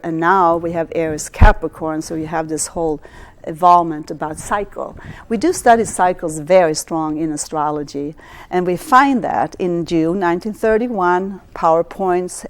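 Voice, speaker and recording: Swedish lady rambling through an astrology talk, lowish voice, lots of ums and restarts. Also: a little mellow. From Sweden. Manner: rambling, halting delivery with frequent restarts and fillers. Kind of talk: astrology lecture.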